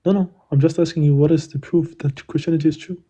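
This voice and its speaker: A man's voice: fairly high pitch, fairly even intonation, fast, dark tone, slightly rough. A young man in a live online debate who keeps questioning the hosts, stumbling and repeating himself. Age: young adult. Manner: hesitant, repeats himself, stumbles over words. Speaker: caller challenging the hosts.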